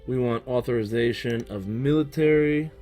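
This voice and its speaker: Hoarse male gaming host, musing aloud, relaxed play-along commentary. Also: medium pitch, moderate pace, soft background music. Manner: thinking aloud, musing while playing. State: losing his voice. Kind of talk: casual let's-play commentary.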